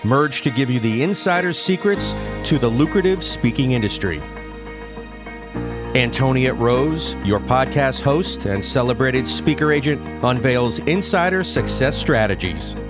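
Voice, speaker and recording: A man's voice: medium pitch, lively intonation, measured pace. Intro announcer, man, slick promo read over music. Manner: polished, promotional.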